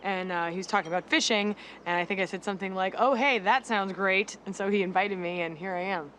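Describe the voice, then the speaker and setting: A woman's voice: mid-range voice, brisk, fairly bright tone, slight background noise, natural voice. An actress playing a sheepish, evasive woman in naturalistic drama dialogue. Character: evasive, sheepish woman admitting a new date.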